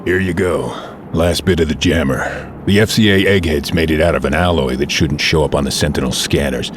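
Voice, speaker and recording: An actor playing a gruff, dry-humored middle-aged male mutant soldier in an audio drama. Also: very deep voice, fairly quick, noisy background.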